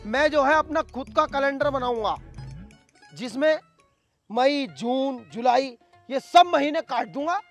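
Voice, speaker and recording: Man acting a high-pitched, boastful village candidate. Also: measured pace, a bit bright, heavily acted. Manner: bombastic, theatrical, quarrelsome. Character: boastful hot-tempered male village election candidate. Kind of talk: broad comedy sketch acting. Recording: background music under dialogue.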